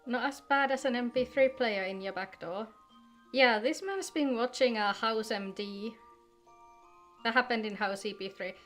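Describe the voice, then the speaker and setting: Female game streamer, higher-pitched voice, chatty and informal, music underneath. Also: moderate pace, a bit bright. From Finland. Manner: chatty, greets viewers by name. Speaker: game livestreamer. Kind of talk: casual livestream chat with viewers.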